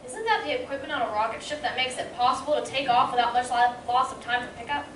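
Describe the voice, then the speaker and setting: A woman's voice: high-pitched, fast, a bit bright. A woman playing an eager, wide-eyed young girl soldier in an old-time radio serial reading. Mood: excited. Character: Wilma Deering, bright keen young girl soldier. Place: community playhouse stage, live reading. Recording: roomy, distant mic, faint noise.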